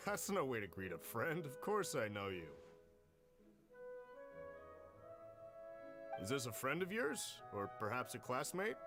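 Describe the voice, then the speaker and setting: A welcoming villager and family man in a game. He speaks at a middle pitch, earnest and courteous as he chats, with faint music underneath. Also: very animated, a little fast. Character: friendly, grateful villager, husband and father. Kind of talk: game voice acting, storytelling dialogue.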